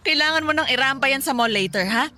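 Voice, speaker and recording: Bubbly, high-pitched young woman. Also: wildly swooping pitch, normal speed, pushed and bright, heavily acted. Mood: playful teasing. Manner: chirpy, teasing. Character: bubbly, teasing young woman, playful girlfriend. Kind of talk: Tagalog radio drama acting. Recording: music bed behind the dialogue.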